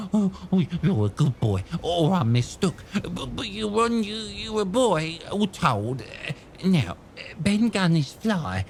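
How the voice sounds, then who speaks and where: A man's voice: high voice, sing-song, takes it slowly, vocal fry, slight background noise, heavily acted. An actor playing a half-crazed old male castaway who stammers, rambles and repeats himself. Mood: wheedling. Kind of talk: radio drama acting.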